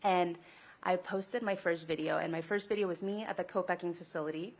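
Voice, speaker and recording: A woman from Mexico speaks in a fairly low voice. She gives personal testimony as a small business founder, with an informal, enthusiastic way of storytelling. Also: steady tone, fairly quick. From Monterrey, Mexico.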